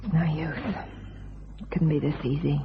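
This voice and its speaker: Pushy eager crime accomplice in a radio thriller, muffled sound, lots of noise. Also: female voice, low voice, flat delivery, moderate pace. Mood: doubtful, puzzled. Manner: impatient, questioning, prodding. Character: eager, nagging female accomplice of a crook. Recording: dull noisy band-limited radio recording.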